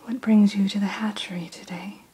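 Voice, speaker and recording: A woman in an ASMR roleplay who whispers gently and courteously as the keeper of a dragon hatchery. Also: mid-range voice, steady tone, brisk, slightly rough, rough recording.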